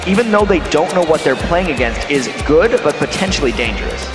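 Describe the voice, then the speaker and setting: A man's voice: fairly high pitch, animated, over music. North American man analysing in a roomy arena. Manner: analytical, measured, explains background. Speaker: esports analyst commentator. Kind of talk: live color commentary. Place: live event stage in a convention hall.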